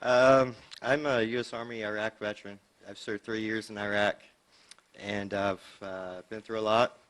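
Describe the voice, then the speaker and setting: A man from California who served in the army gives personal testimony at a county board meeting at a podium microphone, plain-spoken and halting, with many fillers. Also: lowish voice, little pitch movement, a bit bright. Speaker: public commenter, army veteran. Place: public meeting chamber.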